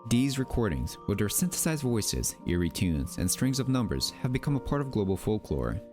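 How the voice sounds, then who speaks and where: A man's voice: fairly low pitch, very animated, normal speed, over music. A man delivering scripted documentary narration in a wry, theatrical manner, with rhetorical asides. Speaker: narrator of a mystery documentary channel.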